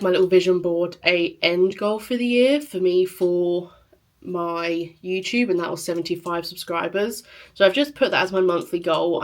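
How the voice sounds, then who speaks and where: A woman with a sore throat and a scratchy, quiet voice, chatting casually to camera in a rambling vlog. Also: on the low side. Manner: chatty, rambling, lots of fillers. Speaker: lifestyle vlogger.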